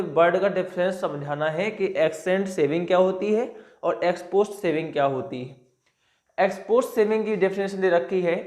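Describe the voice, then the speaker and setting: A man with a fairly high voice teaches a commerce class in an echoey room. He reads out each definition slowly and then walks his students through it step by step. He speaks straight to them as he goes. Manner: explains step by step, addresses students directly. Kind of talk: classroom lecture.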